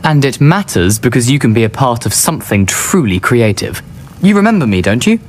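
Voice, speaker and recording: A man's voice: mid-range voice, sing-song, a little fast, a little hiss or hum, natural voice. A man voicing a cheeky, persuasive teenage schoolboy in a video game, eager and coaxing, with a British accent. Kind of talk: video game character acting.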